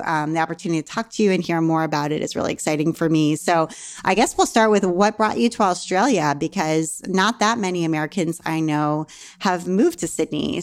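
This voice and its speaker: A woman hosting a podcast, reading an ad and interviewing a guest in a friendly, upbeat way with frequent laughs. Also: fairly low pitch. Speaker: podcast host.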